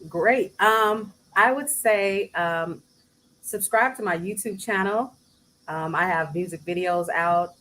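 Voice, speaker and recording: A woman who sings, talking casually as an interview guest, soft-spoken with bursts of laughter. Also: slow pace, a little hiss or hum. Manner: soft-spoken, breaks into laughter and high mimicry. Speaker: singer guest.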